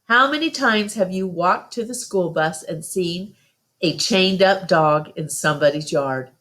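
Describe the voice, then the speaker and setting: A woman reading the part of a stern, principled country father in a storybook, sharp and admonishing in folksy phrases. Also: on the low side, unhurried. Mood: sharp, scolding.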